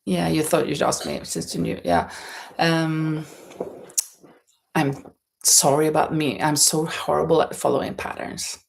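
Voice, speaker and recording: Casting: female livestream host, Norwegian accent, very unhurried delivery, gravelly fry, hesitant chatty style. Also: low-pitched, slight background noise. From Norway. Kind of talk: casual chat while knitting, reading out viewer comments. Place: indoors at home in a holiday stay.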